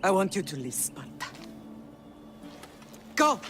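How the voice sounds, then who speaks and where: An actress voicing a grieving, wounded mother in a video game cutscene, with an emotional, breathy delivery. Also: medium pitch, big pitch swings, takes it slowly, fairly bright tone, a little hiss or hum. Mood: bitter grief. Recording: music under the voice.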